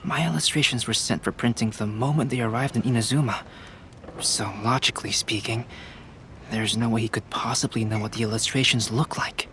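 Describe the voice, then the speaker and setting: A man voicing a calm, analytical young male alchemist and illustrator in a video game, soft-spoken and logical. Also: mid-range voice, brisk, slight background noise, natural voice.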